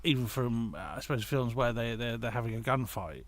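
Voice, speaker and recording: A man's voice: medium pitch, animated, brisk. A man chats casually in a podcast discussion. He explains his thinking in a hesitant way, restarting and correcting himself as he builds each point. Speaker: guest, audio drama writer and creator.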